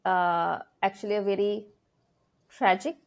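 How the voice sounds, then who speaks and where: A woman's voice: mid-range voice, fairly even intonation, measured pace, gravelly, clean tone. A middle-aged woman from Sri Lanka with a Sri Lankan accent, a senior English lecturer being interviewed, talking earnestly and thinking aloud. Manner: earnest, thinking aloud with frequent fillers and restarts. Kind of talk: conversational interview.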